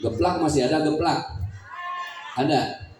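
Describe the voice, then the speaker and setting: Betawi male preacher, playful crowd-teaser, mellow slow delivery, miked from a distance in a very echoey hall. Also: on the high side, a little hiss or hum. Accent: Betawi Malay. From Jakarta, Indonesia. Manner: joking, teasing the audience with questions. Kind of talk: humorous religious talk at a community gathering.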